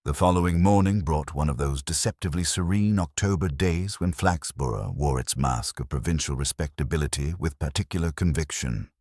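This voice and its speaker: A man narrates a scripted mystery in a measured, literary way. His voice is exceptionally deep, yet it moves with animated intonation. The sound is dry, with very little room around it. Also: breathy edge, no echo. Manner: measured, formal, literary delivery.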